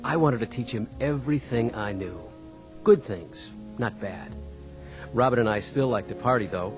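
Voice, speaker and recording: A man's voice: mid-range voice, normal speed, over music, natural voice, rough recording. An actor playing an easygoing young American farmer and father in a radio drama, talking in a folksy, conversational way. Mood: reflective. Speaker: narrator and lead in radio dramatization. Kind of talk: scripted first-person radio drama narration and dialogue.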